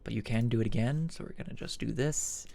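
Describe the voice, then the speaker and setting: A man hosting an informal software tutorial, thinking aloud haltingly as he demonstrates each step. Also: medium pitch, gravelly. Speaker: tutorial host. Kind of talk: casual screen-recorded software tutorial.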